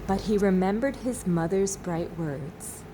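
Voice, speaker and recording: A woman teacher reading a children's storybook aloud expressively, with dramatic pauses. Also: lowish voice, background noise. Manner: slow, dramatic pauses, asks listeners questions.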